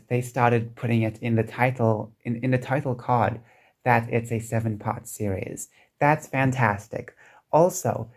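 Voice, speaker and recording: Guy vlogging alone, kinda croaky throat, lots of ums and restarts, gets excited about stuff. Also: mid-range voice. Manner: hesitant, many restarts and ums, enthusiastic. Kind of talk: casual solo vlog chat.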